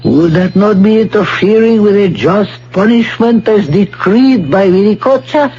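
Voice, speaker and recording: An actor playing a solemn, devout Incan temple priest who speaks formally and reverently in an old-time radio drama. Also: male voice, high-pitched, a little mellow, breathy edge, faint music behind, heavily acted. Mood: stern, measured. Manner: slow, formal, reverent speech. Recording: muffled, noisy vintage radio broadcast.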